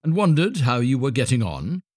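A man voicing a pompous, self-satisfied storybook miller who moralizes in drawn-out phrases. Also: mid-range voice, very animated, a little mellow, husky, no echo, studio quality, voice pushed up. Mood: patronizing. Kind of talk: storybook character voice.

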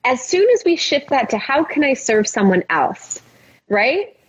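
A woman's voice: high voice, sing-song, unhurried, a little hiss or hum. A woman guest, a life coach, talking in an encouraging, motivational way in a casual podcast conversation. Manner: encouraging, motivational, talks in long flowing runs. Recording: muffled lo-fi audio.

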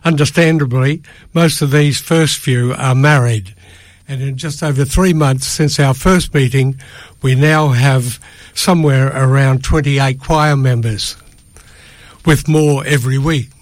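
A man's voice: medium pitch, measured pace, no room sound. An older man giving a prepared statement as a radio studio guest, formal and careful in his wording. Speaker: choir member, interview guest. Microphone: studio microphone.

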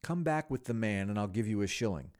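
A man voicing an overjoyed, excitable old man in a storybook reading, exclaiming with gleeful, effusive delight. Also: lowish voice, a little fast, lightly acted. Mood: eager urgency.

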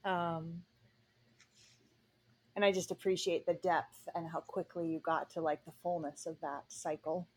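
Female co-host, unhurried and reflective, flat delivery, slight hiss behind. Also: on the low side. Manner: slow, thoughtful, with many pauses and fillers. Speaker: podcast co-host. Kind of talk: casual conversation.

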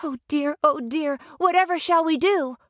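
A woman's voice: high voice, expressive, normal speed, fairly bright tone, dry recording, clean studio sound, voice pushed up. A woman voicing a polite, earnest young farm girl in a children's radio story. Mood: worried.

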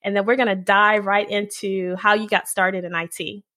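A woman's voice: mid-range voice, flat delivery, moderate pace, pushed and bright. A woman hosting an interview podcast, who asks questions in an upbeat, encouraging, polished way. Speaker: podcast host.

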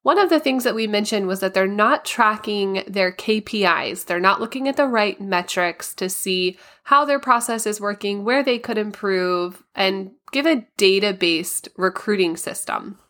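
Woman podcast host, slightly hoarse, raspy edge, crisp bright tone, practical step-by-step delivery. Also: medium pitch, unhurried, few pauses. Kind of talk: solo podcast monologue.

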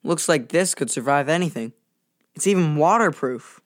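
Woman as a low-voiced bookish boy. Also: lively intonation, normal speed. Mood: keen. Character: bookish, calm, science-loving school-age boy. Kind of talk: children's fantasy audio drama acting.

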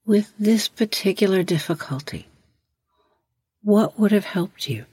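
A woman leading a guided meditation as host, giving measured, instructional prompts with long pauses. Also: medium pitch, warm tone, husky, no room sound.

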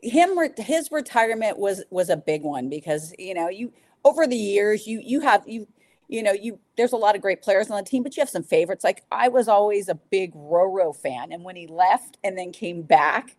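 A woman's voice: medium pitch, expressive, moderate pace, darkish tone, no echo, studio quality. A woman talking casually and enthusiastically as a podcast guest, a sports public address announcer. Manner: enthusiastic, informal.

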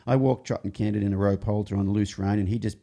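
Guy w a low gravelly dark voice, rambly storyteller, keeps restarting. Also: steady tone, fast talker. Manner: rambling, self-interrupting, jokey anecdotes. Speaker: horse trainer and show host. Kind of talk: casual conversational storytelling.

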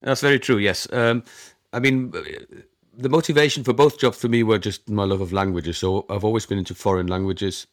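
German-native man in his forties, very low voice, dry close sound, a guest who hesitates and restarts a lot as he explains. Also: fairly even intonation, a little fast, dry recording. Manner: hesitant, many ums and restarts. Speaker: guest, conference interpreter. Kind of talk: conversational interview.

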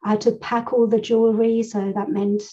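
A woman's voice: a little high, level tone, moderate pace, warm tone. A middle-aged woman from Germany co-hosting a podcast, telling stories in a rambling, chatty way with frequent laughs. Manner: chatty, rambling storyteller who laughs often. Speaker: podcast co-host. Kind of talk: casual conversational podcast chat. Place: home room full of books. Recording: slightly dull, rough audio.

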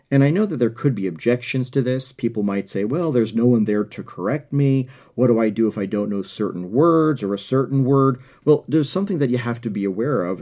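This is a middle-aged man from the United States hosting a motivational podcast. His voice sits low and has a warm, dark tone. He talks earnestly, often doubling back on a word before he pushes on. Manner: earnest, repeats words while finding his phrasing. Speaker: podcast host. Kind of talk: motivational monologue.

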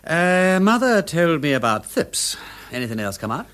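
An actor playing a witty, playful aristocratic gentleman detective in a radio drama, with an upper-class English accent and flamboyant old-fashioned slang. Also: on the high side, sing-song, normal speed. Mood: wry, deductive.

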